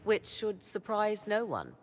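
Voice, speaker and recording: An actress voicing a haughty, knowing fantasy game sorceress who speaks in deliberate, formal, archaic phrases. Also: female voice, medium pitch, huge pitch swings, soft background music. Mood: haughty, cryptic.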